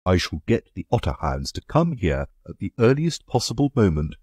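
A man voicing a blustering, indignant country gentleman in a reading of a story. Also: low voice, sing-song, normal speed, husky, dry recording, studio quality, pitched above the performer's own voice, exaggerated voice. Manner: blustering, emphatic.

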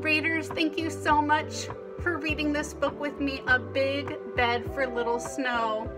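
A young woman tells a picture-book story for small children in a fairly high voice. She goes slowly, leaning on key words and pausing for drama. Her delivery is expressive throughout, as a read-aloud host. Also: over loud music. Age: young adult. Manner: expressive, dramatic pauses and emphasis.

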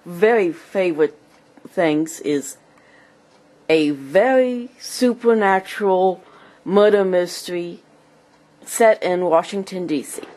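Woman, slightly low pitch, very slow halting delivery, stuffed with a cold, speech impediment, casual home video narration. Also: darkish tone. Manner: halting, choppy phrases. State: has a cold, clearing throat. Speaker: amateur video blogger and hobby writer. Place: small home computer room. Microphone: handheld camera held by the speaker.

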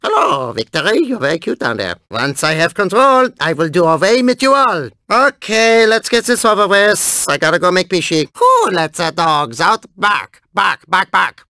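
An actor playing a vain, whiny German cyborg theme park owner in a cartoon video game, with a theatrical, exclamatory delivery and a German accent. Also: male voice, high voice, bright, forceful tone, no echo, studio quality, heavily acted. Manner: theatrical, whiny, exclamatory, swinging from muttering to shrieking.

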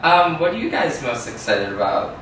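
Halting speaker, many ums and ahs, very roomy, distant camera, hiss. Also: female voice, deep voice, big pitch swings. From Ohio. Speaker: cruise ship performer. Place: dim living room, indoors.

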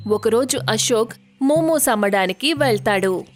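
A woman narrates a moral story in a fairly high voice. She reads scripted storytelling lines, with music playing underneath. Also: expressive, normal speed.

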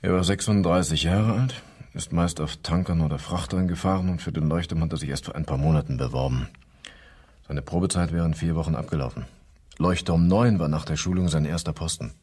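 An actor playing a matter-of-fact male local police inspector in a German radio crime drama, with a husky voice. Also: brisk, a little mellow. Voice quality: husky, very deep.

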